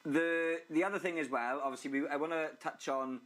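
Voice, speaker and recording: A man's voice: fairly high pitch, steady tone, fairly quick, fairly bright tone. A man interviewing a guest in a casual, conversational way, often repeating words as he starts a sentence.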